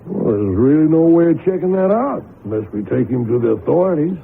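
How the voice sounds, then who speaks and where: Folksy, gravelly American husband. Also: male voice, a little high, big pitch swings, fairly quick. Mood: gently reasoning. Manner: folksy, hesitant, reasoning. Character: plain-spoken, cautious, kindly middle-aged rural husband. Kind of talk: radio drama acting. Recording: muffled lo-fi radio broadcast with noise.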